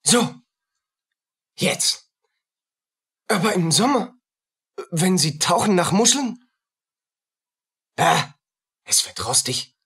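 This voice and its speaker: An actor playing a tormented, feverish soldier unraveling in guilt in a radio play, husky and agitated, in fragments. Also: male voice, a little high, bright, forceful tone, heavily acted. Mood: paranoid. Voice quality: husky, rough. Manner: agitated, fragmented, muttering and crying out.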